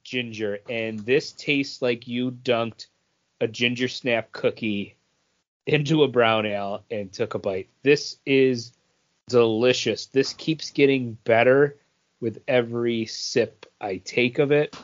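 A man's voice: mid-range voice, steady tone, takes it slowly. An American man speaking casually as a podcast co-host. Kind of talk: casual chat. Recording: dull lo-fi voice recording.

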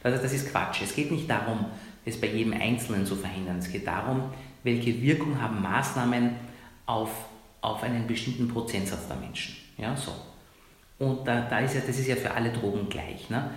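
A man from Iran who is a doctor, arguing emphatically as an interview guest, often ending points with a quick tag question. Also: mid-range voice. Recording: very echoey room.

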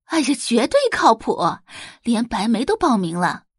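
A woman's voice: a little high, sing-song, moderate pace, slightly rough, no room sound, studio quality. A woman voicing a gossipy, pushy middle-aged mother in an audio drama, coaxing and exclaiming emphatically. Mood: insistent.

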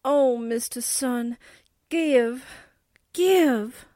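A woman's voice: sits high, very slow, mellow, dry recording, studio quality. An actress playing a quippy, slangy newspaperwoman sidekick in a 1940s-style radio drama. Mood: game, hopeful. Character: wisecracking, game newspaperwoman sidekick, bubbly temper.

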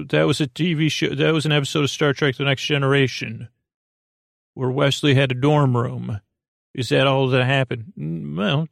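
A man hosting a sleep podcast in a gentle, reassuring, hesitant way, rambling through digressions and half-restarted sentences. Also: medium pitch, creaky, no room sound, studio quality. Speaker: host of a bedtime sleep podcast. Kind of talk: rambling, meandering monologue to lull listeners to sleep.

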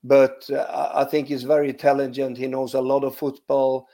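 A man's voice: mid-range voice, monotone, normal speed, mellow. Hesitant Swedish-accented man. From Sweden. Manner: hesitant, many restarts and "you know" fillers. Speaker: former footballer, guest. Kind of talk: relaxed interview answers.

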